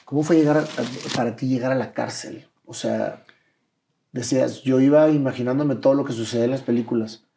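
A man hosting an interview, asking gentle, probing follow-up questions. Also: medium pitch, fairly even intonation, a little fast, gravelly, slight background noise. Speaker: interview host.

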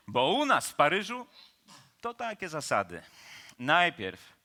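An actor as a jovial Parisian charmer, unhurried and swooping. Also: male voice, a little high, huge pitch swings, sharp tone, full character voice. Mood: breezy advice. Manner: colloquial, teasing, chuckling, drawn-out theatrical phrasing. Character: jovial, cheeky Parisian man about town, laid-back charmer. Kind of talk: operetta radio play acting.

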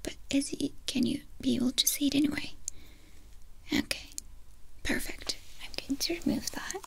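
A young woman in a gentle, breathy whisper, giving reassuring instructions as a doctor in an ASMR medical exam roleplay. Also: high-pitched, steady tone, moderate pace. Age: young adult. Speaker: ASMR performer playing a doctor. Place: small indoor room dressed as a clinic. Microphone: close microphone in front of the speaker.

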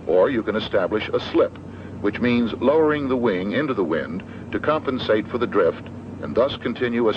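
Male instructional narrator, American, low voice, matter-of-fact and measured, 1970s film sound with clear background noise. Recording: dull, noisy old 16mm film soundtrack.

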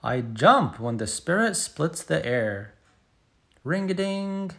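Storybook role: excited little schoolgirl, played by a man, expressive and animated, unhurried delivery. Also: on the high side, creaky, pitched above the performer's own voice, exaggerated voice. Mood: playful, emphatic. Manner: expressive, emphatic sound-effect words. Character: excited little schoolgirl telling her first day. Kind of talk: picture book read-aloud.

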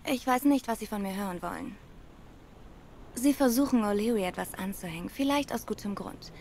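An actress voices a poised young cat woman in a noir video game. She is sardonic and cool, quick with a barb. Light ambient noise sits behind her. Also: medium pitch, a little mellow, close to an everyday voice. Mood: cool, teasing. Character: poised, sharp-tongued young female cat tennis star. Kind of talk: cinematic noir game acting.

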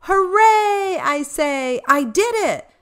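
A woman's voice: very high-pitched, animated, slow, crowd noise, noisy background, pitched above the performer's own voice, heavily acted. A woman voicing a proud, eager little toddler boy from a picture book in an exclaiming, sing-song way. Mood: excited, proud.

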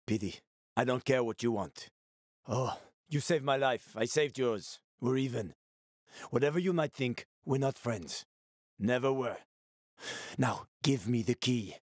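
A man's voice: mid-range voice, no room sound, clean studio sound. An actor playing a world-weary, streetwise male survivor in a video game, with a gritty, sardonic, foul-mouthed manner and dramatic delivery. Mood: cold, threatening.

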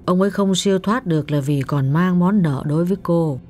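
A man's voice: on the high side, fairly quick, mellow, pitched above the performer's own voice, lightly acted. A man voicing a female spirit medium who urges and coaxes firmly, in a raised voice. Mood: calm assured. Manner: urgent, insistent urging. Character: female medium, firm, coaxing and insistent. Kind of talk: audiobook narration.

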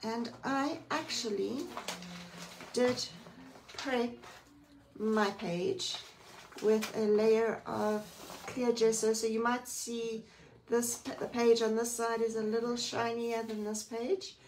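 A woman hosting a live Bible journaling stream, chatty and friendly, greeting viewers by name, a little croaky today. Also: a little high, little pitch movement, slow, darkish tone. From South Africa. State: slightly croaky. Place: indoors at a craft table. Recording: distant mic, faint room noise.

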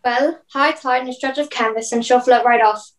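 A woman's voice: fairly high pitch, steady tone, moderate pace, close to an everyday voice. A young woman playing a composed ship's captain in a radio play.

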